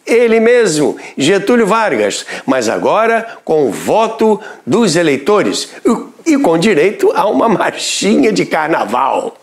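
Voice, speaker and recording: A man, narrating a scripted history documentary in a measured storytelling delivery. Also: high-pitched, huge pitch swings.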